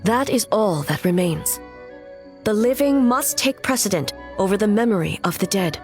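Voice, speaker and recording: Game role: female shogun, mid-pitched, grave and formal, measured delivery actress performing, music underneath. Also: expressive, close to an everyday voice. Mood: firm, resolute. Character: solemn, resolute female shogun, ancient warrior ruler. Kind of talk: video game cutscene acting.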